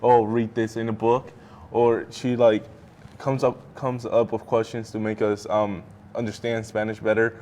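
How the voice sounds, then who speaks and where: A teenage boy, a high school student being interviewed, who talks hesitantly with lots of "um" and "like.". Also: little pitch movement, normal speed, darkish tone, a little hiss or hum. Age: teens. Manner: hesitant, with many "um" and "like".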